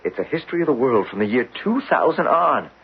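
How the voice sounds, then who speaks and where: An American actor in a 1970s radio drama, playing an earnest, desperate geologist who pleads insistently in a husky voice. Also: male voice, mid-range voice, big pitch swings, quick pace, full character voice. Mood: earnest, excited. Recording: muffled lo-fi 1970s radio recording.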